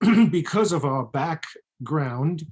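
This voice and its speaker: A middle-aged speaker from Germany with a German accent and a husky voice, talking frankly and reflectively in a casual chat. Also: medium pitch, lively intonation, slow pace, darkish tone. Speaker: physician and co-author, guest.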